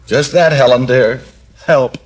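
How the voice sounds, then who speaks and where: An actor playing a wisecracking private detective in a 1950s radio drama, trading deadpan jokes. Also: male voice, medium pitch, measured pace, breathy edge, rough recording. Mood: wry. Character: wisecracking male private detective, dry and cocky.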